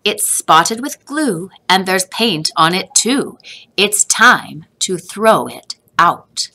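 A woman voicing an exasperated, bossy storybook mother who scolds her young son in an emphatic, drawn-out way. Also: mid-range voice, animated, bright, forceful tone, breathy edge, full character voice. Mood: firm scolding.

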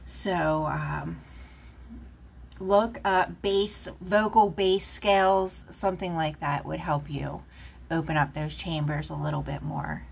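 A woman's voice: low-pitched, takes it slowly, creaky, slightly rough. A woman giving a casual, rambling tarot reading, chatting with lots of fillers while recorded off camera at a table indoors. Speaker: tarot reader.

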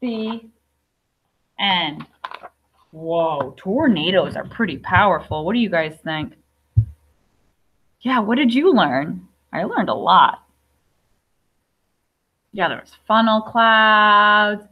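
A woman's voice: mid-range voice, expressive, slow pace, long pauses, husky, muffled recording. A young adult woman teacher reading a picture book aloud to young children, explaining simply with questions and asides. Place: classroom.

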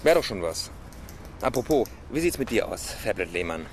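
An actor playing a terse, matter-of-fact senior police detective in a radio crime drama. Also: male voice, lowish voice, very animated, moderate pace, vocal fry. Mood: relaxed, brisk. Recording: loud urban street noise.

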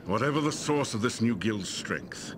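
A low-voiced, scholarly secret agent, formal and grave yet very expressive in pitch. He speaks as in a fantasy video game, with clear noise behind him. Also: normal speed. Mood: grave, informative.

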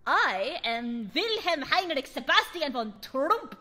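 A woman's voice: high-pitched, big pitch swings, slow, sharp tone, long reverb tail, full character voice. A woman voicing a boastful, pompous young boy aristocrat in a radio drama, with a theatrical manner.

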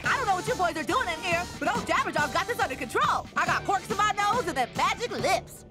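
A woman voicing a brash, goofy male cartoon shark who talks fast and loud. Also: very high-pitched, expressive, loud music behind the voice. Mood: cocky.

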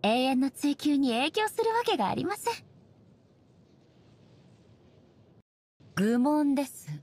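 A woman voicing a dignified, ageless shogun goddess in a game, speaking softly in formal, measured, polite phrases. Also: high voice, big pitch swings. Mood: prim, firm. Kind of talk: scripted game character voice lines.

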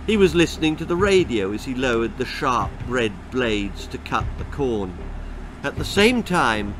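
A man in his sixties reading a children's story aloud in a theatrical, deliberate way, relishing gruesome words. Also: mid-range voice, big pitch swings, a bit bright, slight background noise. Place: small indoor room lined with bookshelves.

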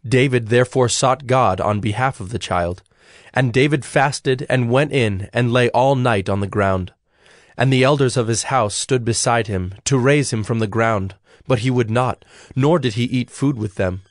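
A man narrating scripture in a measured, formal way as a scripted reading. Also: medium pitch, no room sound, studio quality.

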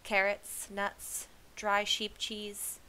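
Woman voicing a bright, blustery shepherd woman. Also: medium pitch, completely flat delivery, drawn-out words. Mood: bossy, unhurried. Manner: exclaiming, insistent, brushing objections aside. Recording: clear background hiss.

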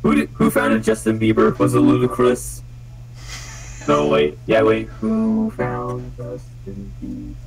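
A young man chatting casually and excitably, swearing freely, his voice run through a voice changer on a headset. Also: medium pitch, slow, gravelly, loud music behind the voice, rough recording. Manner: excitable, giggly, blurting. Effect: voice changer with shifting presets. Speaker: video maker playing with a voice changer. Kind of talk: casual joking chat with swearing. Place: indoors at a computer.

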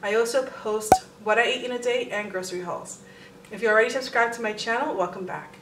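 A woman's voice: a little high, fairly quick, very noisy. A woman in her thirties talking casually and straightforwardly as a vlogger, recorded indoors at home. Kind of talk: casual grocery haul vlog.

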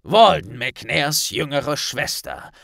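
Man as battle-worn male minister of magic, grave, authoritative, lively intonation, storybook. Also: a little high, vocal fry, no room sound, studio quality, voice pushed up, heavily acted. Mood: grave, firm. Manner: serious, authoritative, deliberate. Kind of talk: audiobook character dialogue.

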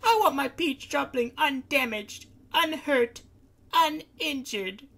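A man's voice: very high voice, slow pace, sharp tone, pitched above the performer's own voice, heavily acted. A man voicing Miu, a fussy, protective young woman.

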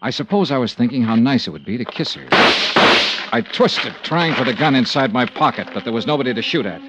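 An actor playing a tough but tender-hearted investigator in a 1950s radio detective drama, speaking in a clipped, understated way. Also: male voice, medium pitch, expressive, fairly quick, heavy background noise, natural voice. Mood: sober narrating. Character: tough but tender-hearted male insurance investigator narrating his case. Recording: muffled old radio recording, music behind.

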